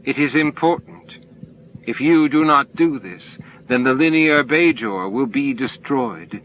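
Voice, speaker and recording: Man acting cryptic alien being, solemn, insistent, slow stilted delivery, raised pitch, audiobook drama, muffled recording. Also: a little hiss or hum, lightly acted. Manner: deliberate, stilted, pausing between words. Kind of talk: audiobook character dialogue.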